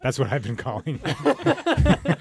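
A man's voice: mid-range voice, wildly swooping pitch, slow pace, crowd noise. A man hosting a podcast with casual, joking banter. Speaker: podcast host.

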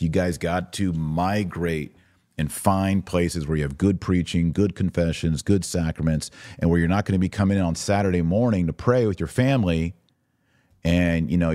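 A man with a low-pitched voice hosts a live podcast, captured close and dry with very little room sound. He moves between reading listener comments and commenting on them in an informal, emphatic way. His delivery is plainly opinionated. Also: darkish tone, no echo. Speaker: podcast host.

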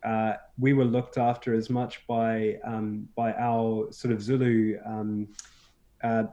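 A man's voice: on the low side, flat delivery, takes it slowly, mellow, lo-fi sound. A man from South Africa, a guest on a talk show, chatting casually with many fillers and restarts. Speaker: podcast guest. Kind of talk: casual interview chat.

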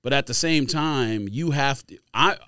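A man's voice: medium pitch, a little slow, pushed and bright, clean tone, dry recording, studio quality. An American man co-hosting a podcast, arguing insistently in a casual sports debate. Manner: argumentative, insistent. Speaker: podcast co-host.

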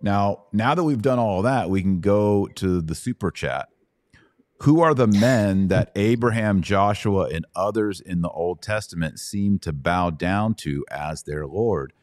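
Male podcast host, low pitch, dry sound, colloquial explainer of religious questions. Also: measured pace, a little mellow, dry recording. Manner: explanatory, colloquial, emphatic repetition. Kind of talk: informal Bible question-and-answer teaching.

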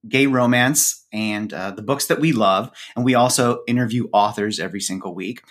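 A man with a medium-pitched voice talks as a podcast guest. His delivery is casual and somewhat hesitant, with a self-deprecating manner. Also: fairly bright tone. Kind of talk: casual interview chat.

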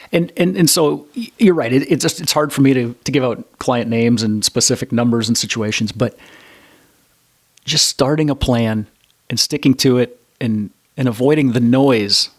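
A man in a mid-range voice speaking as a financial advisor guest on a podcast. He is blunt and plain-spoken, often restarting his phrases, and slows down to drive important points home. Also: medium pitch. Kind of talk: conversational interview answers.

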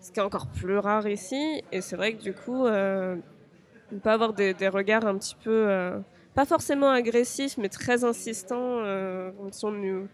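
A woman's voice: mid-range voice, normal speed. A young woman from France, a podcast guest speaking hesitantly, with frequent fillers and restarts. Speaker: interview guest. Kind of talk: podcast interview.

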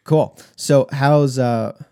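A man's voice: mid-range voice, steady tone, very slow, dark tone, clean tone. A man hosting a casual podcast interview, easygoing and encouraging. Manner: easygoing, encouraging, short backchannels. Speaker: podcast host.

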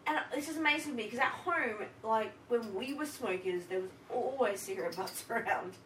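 A woman co-hosting a casual travel podcast, loud and swearing freely, laughing often. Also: fairly high pitch, brisk. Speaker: podcast co-host. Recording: echoey room, mic far off, noisy.

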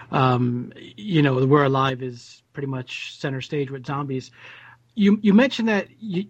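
A man's voice: on the high side, slightly rough, no echo. A man casually asking questions on a discussion panel, in slightly lo-fi sound.

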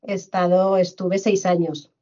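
A middle-aged woman from Madrid, a guest on a podcast, recounting her career in a measured way with frequent fillers and repeated words. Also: lowish voice, level tone, mellow, highs cut off. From Spain, Madrid. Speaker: guest, HR director. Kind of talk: conversational interview.

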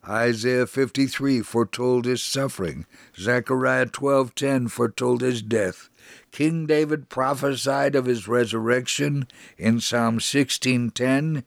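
A man reading a scripted first-person faith story in a measured, solemn manner, as a dramatic narration. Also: mid-range voice, no room sound, clean studio sound. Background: music.

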